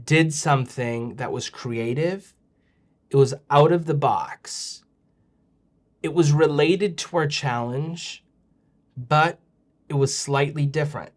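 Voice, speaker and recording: A man hosting a children's show, joking and reacting with exaggerated excitement. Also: fairly high pitch. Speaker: host of a kids' show. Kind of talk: playful, animated hosting.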